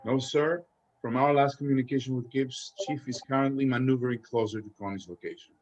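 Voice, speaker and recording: Guy reading as a nervous-to-report army sergeant, formal and respectful, average male pitch, script read. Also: steady tone, brisk, darkish tone, lo-fi sound, close to an everyday voice. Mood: dutiful. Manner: formal, clipped, deferential. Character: dutiful, hesitant army sergeant reporting to his superior. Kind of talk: screenplay table read.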